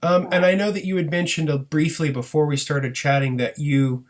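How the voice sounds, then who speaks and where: A man's voice: fairly high pitch, steady tone, normal speed. A man hosting a podcast interview, upbeat and encouraging toward his guest. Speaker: podcast host.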